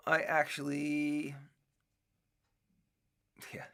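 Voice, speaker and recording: A man's voice: on the high side, monotone, drawn-out words, sharp tone, smooth. A middle-aged man hosting his own show, rambling casually with many restarts and fillers. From United States. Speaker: podcast host. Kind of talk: casual solo talk.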